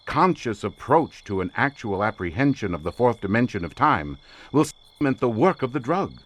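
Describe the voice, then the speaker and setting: An actor playing an intense, imperious occult researcher in an audio drama, speaking in a formal, grandiloquent, theatrical manner. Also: male voice, mid-range voice, big pitch swings, normal speed. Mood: intense, instructing.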